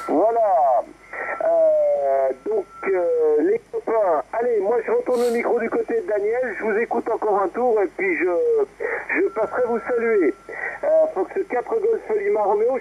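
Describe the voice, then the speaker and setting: A man chatting casually as he leads an amateur radio round, heard over a radio transmission. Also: high-pitched. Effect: two-way radio transmission. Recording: muffled, hissy amateur radio reception.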